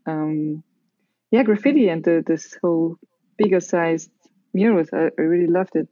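A woman's voice: fairly low pitch, normal speed, warm tone, no echo. A woman from Carinthia with an Austrian accent, chatting casually as an artist being interviewed. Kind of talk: casual chat. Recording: dull lo-fi sound.

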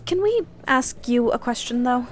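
Actress as a candid woman dater with animated delivery. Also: fairly high pitch, moderate pace, natural voice. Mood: playful. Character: candid, talkative woman on a first date, newly single. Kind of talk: naturalistic audio drama dialogue.

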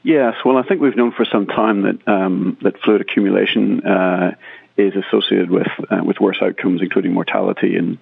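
A middle-aged man, a critical care consultant speaking in a measured way and repeating words as he thinks, in a remote podcast interview. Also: low voice, steady tone, creaky, husky, no room sound. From Northern Ireland. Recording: tinny phone-like line, dry.

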